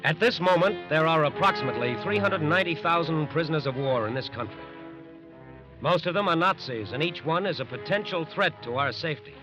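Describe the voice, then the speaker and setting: A man's voice: a little high, animated, lightly acted, rough recording. A man performing as a grave, warning narrator with an American accent, emphatic and solemn in scripted 1940s radio style. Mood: grave, urgent. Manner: emphatic, solemn, deliberate phrasing. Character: grave, warning male narrator of wartime crime show. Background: loud dramatic music.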